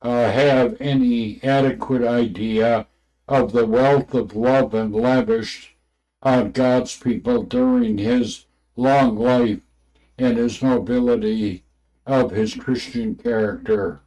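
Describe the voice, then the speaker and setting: A man reading an old religious text aloud in a halting way, stumbling over words and names. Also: medium pitch, steady tone, dark tone, low-quality recording.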